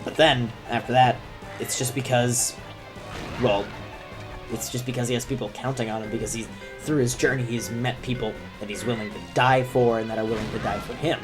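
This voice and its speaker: A man's voice: medium pitch, steady tone, brisk, over music. A young man who is sick, talking in a rambling, self-deprecating way with many fillers as he comments on a video game. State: sick, deep voice. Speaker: gaming commentator. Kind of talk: let's play commentary.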